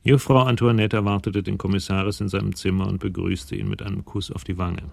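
Male narrator for radio crime drama: low, creaky voice, sober scripted delivery. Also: little pitch movement, brisk, a little mellow.

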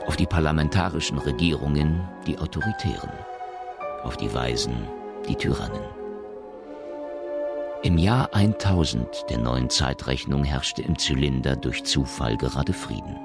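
A man narrating a science fiction radio drama as a grave, measured chronicler with a gravelly voice. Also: extremely low pitch, heavily acted. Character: grave chronicler of a space colony's history. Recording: music under the narration. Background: music.

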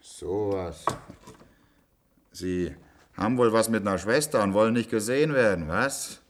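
A man's voice: fairly low pitch, unhurried. An actor playing a chummy, nosy handyman in a German science-fiction radio drama, talking in a blunt, colloquial way. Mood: scolding. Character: chummy, nosy institute handyman, matter-of-fact working man.

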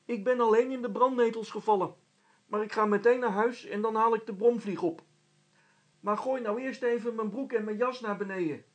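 A man's voice: high-pitched, fairly even intonation, moderate pace, pitched above the performer's own voice, close to an everyday voice. A man voicing a plucky, down-to-earth young boy in a storybook, talking in a plain, eager way. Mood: calling out, cheerful. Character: plucky, sober, matter-of-fact young boy.

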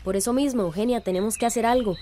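A woman's voice: fairly high pitch, fast, warm tone, a little hiss or hum, lightly acted. An actress playing a determined, indignant village woman activist in a radio drama, earnest and resolute.

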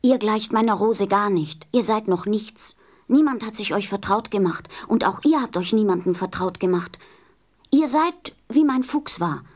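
A woman's voice: mid-range voice, normal speed, natural voice. A woman playing a tender, innocent little boy prince in a radio play, speaking earnestly and carefully. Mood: earnest, firm.

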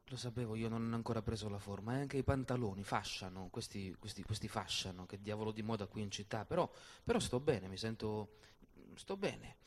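Attore che fa un giovane barone ingenuo ed eccitabile. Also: male voice, lowish voice, brisk, natural voice. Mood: muttering, fussy. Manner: chattering, hesitant, repeating himself. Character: naive, excitable young baron, newcomer gawking at the city. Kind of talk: theatrical comic monologue.

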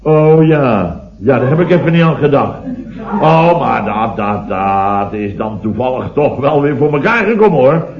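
Blustering middle-aged boss, indignant, exclamatory rambler, medium pitch, 1960s radio comedy, muffled old recording. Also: male voice, animated, a little slow. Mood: exasperated. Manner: exclamatory, rambling, indignant storyteller with tag words. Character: blustering middle-aged builder boss telling weekend mishaps. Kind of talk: late-1960s Dutch radio comedy serial acting.

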